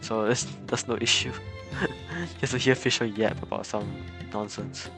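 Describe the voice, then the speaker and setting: A man's voice: medium pitch, unhurried. Stammering man streaming a video game. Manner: stammering, repeats words, giggly. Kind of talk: live gameplay commentary. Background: video game music.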